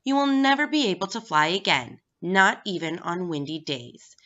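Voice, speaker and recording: A woman's voice: lowish voice, very animated, moderate pace, bright, forceful tone. A woman voicing a stern, cruel male bird in a storybook, with a sneering, blunt manner. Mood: stern, dismissive. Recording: dull, lo-fi recording.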